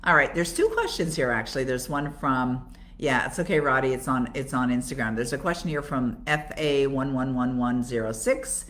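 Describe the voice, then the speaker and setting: Low-pitched older Canadian woman. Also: animated, moderate pace, a bit bright, a little hiss or hum. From Toronto, Canada. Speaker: coach and author hosting a live Q&A. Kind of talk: conversational live Q&A coaching talk.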